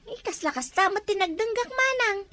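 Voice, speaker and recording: A woman voicing a very high-pitched village woman. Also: expressive, fairly quick, crowd noise. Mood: worried. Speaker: voice in a village drama. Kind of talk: scripted audio drama dialogue.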